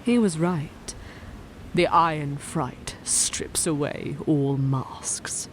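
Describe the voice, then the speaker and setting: A woman performing a cold, vengeful witch who narrates her own dark tale in a deliberate, intense, dramatic way. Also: low-pitched, slight background noise, rough recording. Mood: hushed, bitter. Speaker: story narrator. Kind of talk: dramatic first-person scripted narration.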